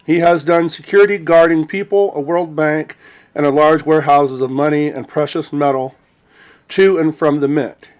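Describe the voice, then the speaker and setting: A man's voice: on the high side, steady tone, moderate pace, clear, resonant tone. A man reading witness statements aloud as the narrator of his own recording.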